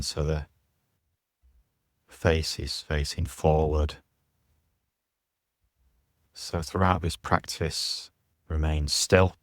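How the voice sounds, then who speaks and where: A man with a very deep, evenly pitched voice leads a guided meditation. He speaks slowly and soothingly, in short phrases with space between them, as a yoga teacher instructing a relaxation practice. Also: no echo, clean studio sound.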